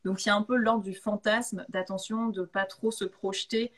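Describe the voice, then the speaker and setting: A woman's voice: medium pitch, steady tone, moderate pace, fairly bright tone. A woman in a casual live chat, explaining tarot readings in an informal, enthusiastic way. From of Italian descent. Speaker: guest tarot reader and author.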